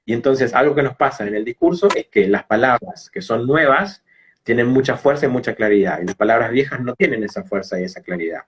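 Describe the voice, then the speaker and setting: Husky Rioplatense Argentine man explaining. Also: mid-range voice, lively intonation, fairly quick. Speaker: English teacher and phonetics specialist being interviewed. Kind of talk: explanatory interview talk.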